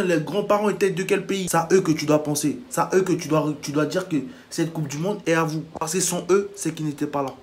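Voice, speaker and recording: Indignant man lecturing, somewhat high voice. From Africa. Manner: indignant, lecturing, blunt with insults. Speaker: vlogger. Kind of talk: heated reaction monologue.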